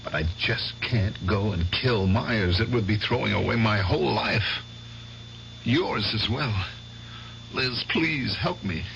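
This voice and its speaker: An actor playing a troubled, cautious middle-aged veteran in a radio drama, earnest and reluctant. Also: male voice, on the low side, takes it slowly, vocal fry, a bit bright, exaggerated voice. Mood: anguished. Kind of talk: 1970s radio drama acting. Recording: muffled old radio recording, faint hum.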